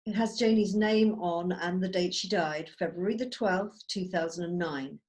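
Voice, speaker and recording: A woman's voice: on the low side, normal speed, darkish tone. A woman reading stage directions as the narrator of a script, in a scripted narration style. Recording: video call.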